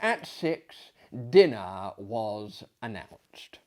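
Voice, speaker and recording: A man's voice: on the low side, sing-song, extremely slow. A man in his thirties narrating a classic short story in a theatrical performer's style. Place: small indoor book-lined study, seated in armchair.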